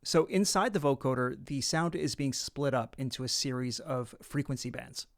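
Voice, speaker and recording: A man narrating an instructional software tutorial, explaining things casually and step by step. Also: mid-range voice, moderate pace, over loud music.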